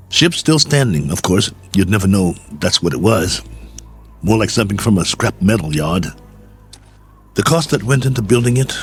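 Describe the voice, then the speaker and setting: A lonely astronaut colonel marooned far from home in a radio play. His voice sits fairly low, and he muses in a wry, conversational way as if talking to his log. Soft music plays behind him. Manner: reflective, wry, conversational musing. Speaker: actor in a radio drama. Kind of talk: radio drama monologue.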